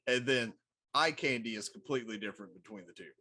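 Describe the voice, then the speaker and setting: A man's voice: medium pitch, quick pace, sharp tone, a little hiss or hum. A middle-aged man chatting casually as a co-host on a talk show. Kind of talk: casual chat.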